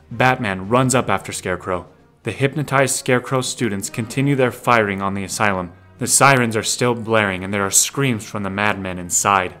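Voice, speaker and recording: Male narrator for audio drama, low register, scripted scene description over a light music bed. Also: bright, forceful tone.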